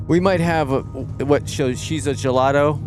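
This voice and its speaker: A man's voice: mid-range voice, unhurried. A man hosting a casual live show outdoors at a market, rambling and restarting his sentences while teasing his co-host. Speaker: podcast host. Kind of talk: casual live-stream chat. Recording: clear music in the background.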